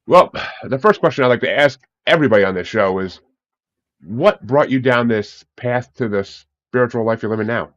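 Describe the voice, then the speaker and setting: A man's voice: medium pitch, normal speed, no room sound, muffled recording. A man hosting a casual interview show, talking in an informal, self-deprecating, rambling way. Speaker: podcast host.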